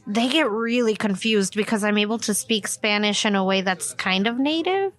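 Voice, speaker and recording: A woman's voice: mid-range voice, moderate pace, fairly bright tone. A woman co-hosting a casual podcast chat in a coffee shop, talking emphatically and acting out quoted asides as she tells stories. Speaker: podcast co-host.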